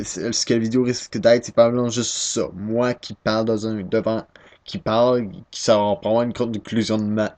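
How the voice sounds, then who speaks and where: Young québécois dude rambling at his webcam at home, kinda low voice, lots of restarts. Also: darkish tone. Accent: Québécois French. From Quebec, Canada. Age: 19, young adult. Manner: self-deprecating, hesitant, many restarts. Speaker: first-time vlogger. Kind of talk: casual rambling webcam talk.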